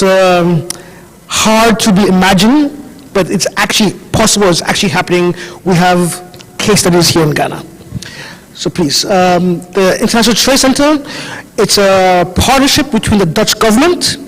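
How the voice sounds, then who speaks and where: A man with a foreign accent giving a casual, chatty talk at a conference, often correcting himself mid-sentence. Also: high voice. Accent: foreign, European-tinged. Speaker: conference speaker. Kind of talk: conference presentation. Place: conference hall. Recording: hall reverb from a large room.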